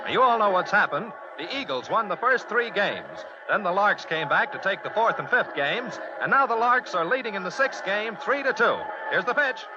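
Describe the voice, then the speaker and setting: A man's voice: sits high, very animated, moderate pace, few pauses. A man playing a folksy American baseball announcer in 1940s radio style, dramatic and chatty. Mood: suspenseful. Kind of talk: 1940s radio play-by-play commentary. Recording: dull old radio recording, loud crowd noise.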